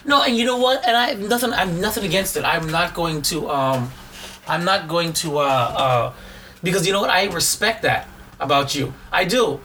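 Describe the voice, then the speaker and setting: Hoarse man, rambling podcast co-host. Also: high voice, animated, normal speed, pushed and bright, slight background noise. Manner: rambling, repeats words and restarts often. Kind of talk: casual chat.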